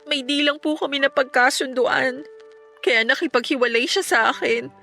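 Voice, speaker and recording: A woman playing a gentle, polite young girlfriend in a Filipino radio drama, earnest and deferential, with a Filipino accent. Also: sits high, normal speed, vocal fry, soft background music, full character voice. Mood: meek, upset.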